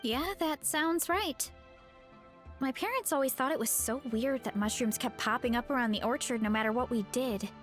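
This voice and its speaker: High-voiced easygoing young adventurer girl. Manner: casual, thinking aloud. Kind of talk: scripted video game voice acting. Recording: music behind.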